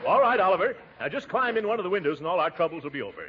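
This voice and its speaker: An actor playing a pompous, flustered middle-aged uncle in a blustering, chuckling 1940s radio comedy style. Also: male voice, high voice, very animated, racing, highs cut off, a loud crowd. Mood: exasperated. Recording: noisy, band-limited old radio recording.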